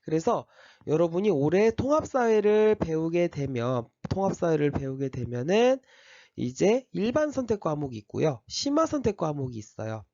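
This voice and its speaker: Guy teacher w a bit of a cold, explaining step by step, lots of uh's, mellow voice, kinda dull dry recording. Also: a little high, big pitch swings, moderate pace, no room sound. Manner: explains step by step, with many fillers and restarts. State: slight cold. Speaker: high school history teacher. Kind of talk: recorded class orientation lecture.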